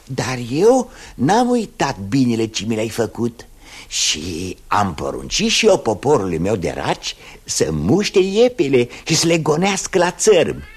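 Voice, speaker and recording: An actor playing the grateful emperor of the crabs, earnest and explaining, in 1960s radio drama acting. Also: male voice, medium pitch, huge pitch swings, husky, exaggerated voice. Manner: earnest, explaining, warm-hearted.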